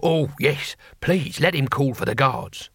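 A man's voice: medium pitch, lively intonation, a little slow, creaky, breathy edge, no room sound, studio quality, heavily acted. A man voicing a blunt, bloodthirsty old barbarian in a comic fantasy audiobook, simple-minded and menacing. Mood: eager.

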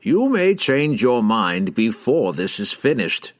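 Fantasy storybook wizard: oily, amused schemer, raspy and grandiose, lifted pitch with wide swings. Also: male voice, big pitch swings, dry recording, clean studio sound. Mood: amused, confident. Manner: grandiose, drawn-out, courtly delivery.

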